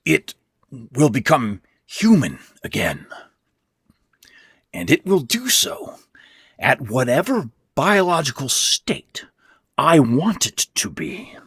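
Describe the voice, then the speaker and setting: A man acts out a sick, worn-out old tyrant from a horror story, husky and dry, swinging from hisses to growls. He is irritable and commanding, leaning hard on his words with wide, surging pitch. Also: wildly swooping pitch, slow pace, long pauses, fairly bright tone, no room sound, full character voice. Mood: grimly insistent. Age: older. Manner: hissing, growling, emphatic, commanding. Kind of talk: dramatic horror fiction reading.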